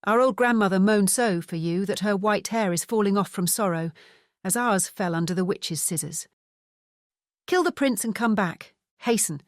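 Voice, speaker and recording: A woman's voice: medium pitch, normal speed, no echo, clean studio sound, voice pushed up, natural voice. A British woman voicing a sorrowful young mermaid sister, pleading insistently in a dramatised fairy tale audiobook. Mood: desperate, pleading.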